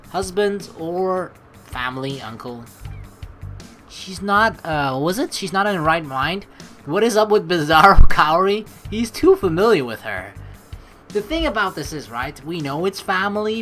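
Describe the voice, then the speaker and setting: A woman hosting a let's play, reading game narration aloud and adding chatty, rambling commentary in an expressive way. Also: lowish voice.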